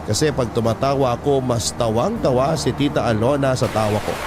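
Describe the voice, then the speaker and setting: A man's voice: medium pitch, heavy background noise. A man reading out a first-person love story as a scripted drama narration.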